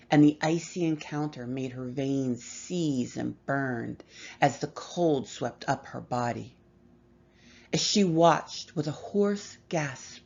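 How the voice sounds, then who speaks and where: A woman reading a horror story aloud with measured, expressive delivery and dramatic pauses. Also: rumbling low, gravelly, dull audio. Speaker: story reader.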